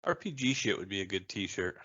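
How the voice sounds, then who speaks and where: A man's voice: on the low side, animated, a little fast, sharp tone, highs cut off. A man co-hosting a casual podcast with wry, bantering delivery. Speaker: podcast co-host. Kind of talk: casual chat.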